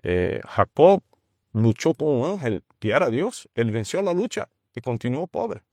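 Brazilian man speaking halting Spanish. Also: mid-range voice, big pitch swings, warm tone, dry recording, studio quality. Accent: Brazilian Portuguese. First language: Portuguese. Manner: halting, with restarts and searching for words. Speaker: guest, teacher of a ministry. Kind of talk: conversational testimony.

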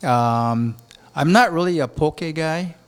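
A man from Japan, a chef and restaurant owner, talks in a rambling, halting way with many restarts during an interview at a beach hotel. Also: fairly high pitch, smooth. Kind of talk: casual interview talk.

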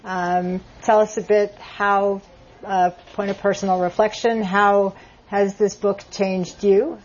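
A woman's voice: medium pitch, little pitch movement, takes it slowly, slight background noise. A woman moderating a book talk at a bookstore, friendly and hesitant, leaning on fillers. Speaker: event moderator. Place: bookstore event. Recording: muffled event recording.

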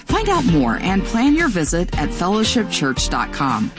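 A woman announcer reads a promotional church spot in an upbeat, scripted manner. Her pitch swings widely as she speaks. Music plays clearly behind her. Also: huge pitch swings, a little slow. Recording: voice over music bed.

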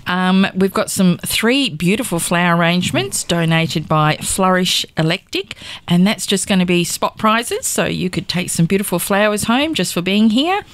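A woman's voice: on the low side, lively intonation, normal speed, dry recording. A woman with a bubbly, upbeat manner, chatting on community radio and listing raffle prizes with little ums. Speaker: community radio volunteer and presenter. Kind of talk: casual on-air chat.

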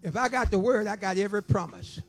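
A man's voice: high-pitched, fast, faint music behind. A man in his seventies preaching an impassioned sermon with emphatic, rhythmic repetition. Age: 70s.

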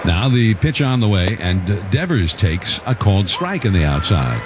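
Lively male baseball play-by-play announcer. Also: on the low side, moderate pace. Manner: relaxed, conversational, with fillers and self-corrections. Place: broadcast booth at a ballpark. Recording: ballpark crowd and noise behind.

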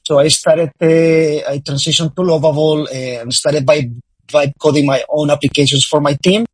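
Male guest, Spanish accent, husky, halting delivery, dry close mic. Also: on the high side, flat delivery, dry recording. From Spain. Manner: halting, many restarts and fillers. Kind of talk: casual interview.

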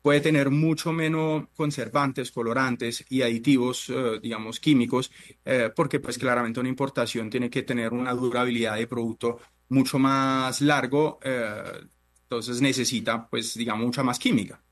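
A man's voice: mid-range voice, fairly even intonation, normal speed. Italian-born man, hesitant chef. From Italy. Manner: hesitant, many fillers and restarts. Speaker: chef and restaurant owner. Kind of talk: interview answers.

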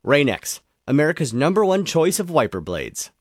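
A man's voice: fairly high pitch, sing-song, moderate pace, no room sound, clean studio sound. A man reading a tongue-in-cheek scripted commercial as the announcer.

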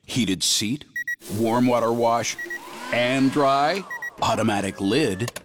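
An actor playing a smug neighbor bragging in a radio ad skit. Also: male voice, medium pitch, takes it slowly, noisy background, close to an everyday voice. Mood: boastful. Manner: proud, boastful. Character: smug man boasting about toilet.

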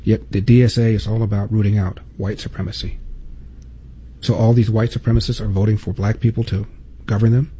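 A man's voice: on the low side, flat delivery, brisk, mellow, a little hiss or hum, low-quality recording. A man hosting a talk show, delivering an emphatic opinion monologue full of repeated points and rhetorical questions.

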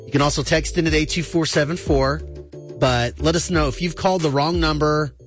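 A man's voice: a little high, steady tone, a little fast, soft background music. A man hosting a radio morning show in casual storytelling chat, with a slightly country American accent. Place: radio studio. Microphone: studio microphone.

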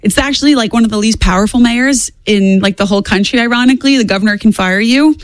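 A young woman in her twenties talks politics as a show guest. Her voice sits a little high and moves very quickly, stressing points by repeating them. The sound is dry and close. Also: dry recording. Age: 20s. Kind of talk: opinionated political talk.

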